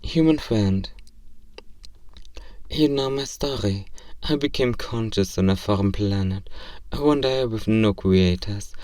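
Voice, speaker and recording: A man's voice: fairly low pitch, darkish tone, no room sound, far from the mic, lightly acted. A man voicing an earnest, curious robot learning about human love in a comedic role-play, speaking in formal, literal, stiltedly polite phrases. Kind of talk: ASMR role-play comedy audio drama.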